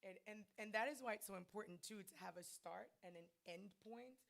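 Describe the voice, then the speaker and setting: A woman's voice: mid-range voice, fairly quick, vocal fry, a bit bright. Emphatic American woman teaching church leaders. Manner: emphatic, conversational, says "right?" often. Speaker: guest speaker at a ministers' training.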